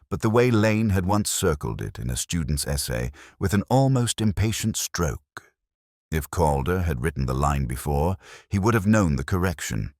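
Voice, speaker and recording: A man with an extremely deep voice narrates a detective story in the first person. His delivery is measured and brooding, with literary phrasing and a wide, lively pitch movement. The sound is dry, with no room around it. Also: dry recording. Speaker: audiobook-style narrator of a detective mystery.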